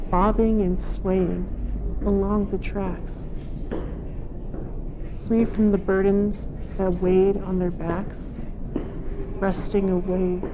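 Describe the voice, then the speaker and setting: A woman reciting poetry in a measured, unhurried delivery. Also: mid-range voice, little pitch movement, lightly acted. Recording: noisy ambient background bed.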